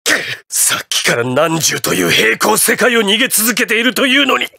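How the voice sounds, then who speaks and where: An actor playing an imperious, ruthless patriotic president in a Japanese anime fighting game, with grandiose, theatrical declarations. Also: male voice, a little high, expressive, measured pace, fairly bright tone, no echo, studio quality. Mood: panicked.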